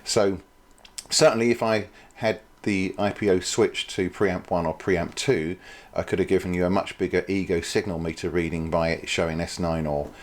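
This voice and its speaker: A man's voice: low-pitched, steady tone, normal speed. A man presenting an informal product demonstration, explaining things in a hesitant, thinking-aloud way.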